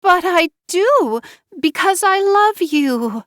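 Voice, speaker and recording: A woman doing a high, whiny, tearful young bride. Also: expressive, slow pace, fairly bright tone, dry recording, studio quality, exaggerated voice. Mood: pleading tearful. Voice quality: high childish little-girl whine. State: tearful, sobbing.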